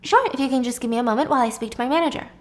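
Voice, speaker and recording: A woman's voice: sits high, very fast, natural voice. A woman voicing a courteous, professional hotel receptionist with a placating, formal manner. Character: polite, formal hotel front desk receptionist.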